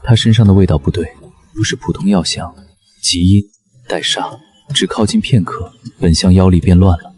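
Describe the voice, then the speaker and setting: A man playing a proud, shrewd imperial chancellor in a historical animated drama, speaking in a measured, admonishing way. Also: low-pitched, slightly rough. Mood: wary, grave. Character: proud, aloof, shrewd male imperial chancellor, secretly a rabbit spirit. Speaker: voice actor in a costume drama.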